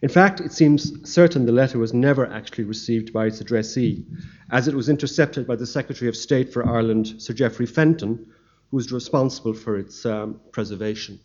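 A man delivers a formal history lecture, reading his paper at an even, measured pace. His pitch sits in an ordinary middle range. The recording is dull and lo-fi.

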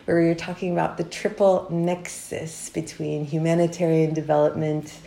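A woman interviewing a guest on a podcast, asking friendly, measured questions. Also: deep voice, little pitch movement, gravelly, dark tone. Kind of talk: conversational interview. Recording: echoey room.

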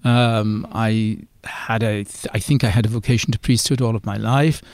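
A man's voice: lowish voice, steady tone, normal speed, darkish tone. Reflective older Irish man. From Ireland. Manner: hesitant, reflective, many fillers. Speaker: Jesuit priest, guest. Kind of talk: interview conversation.

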